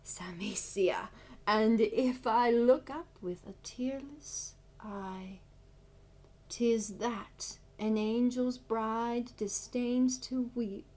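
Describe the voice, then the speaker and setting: A woman performing a proud, loving young woman facing death in a classical verse monologue, declaimed gravely and with measured weight. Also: fairly high pitch, a little mellow. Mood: tender farewell.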